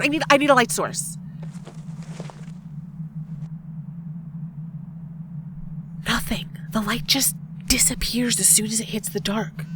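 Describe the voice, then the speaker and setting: A woman playing an anxious, suspicious, sharp-tongued young woman in an audio drama, blunt and pressing. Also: fairly high pitch, noisy background. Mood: alarmed.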